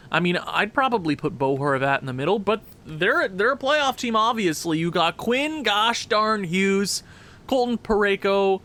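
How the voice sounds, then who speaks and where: A man with a stuffed-up nose giving chatty, excitable commentary over a hockey video game. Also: sits high. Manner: chatty, excitable, quick asides. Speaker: gaming commentator.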